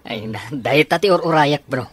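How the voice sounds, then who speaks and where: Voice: mid-range voice, animated, fairly quick, slightly rough. An actor with an Ilocano accent playing a male soldier, a supportive buddy, in a radio drama. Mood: eager.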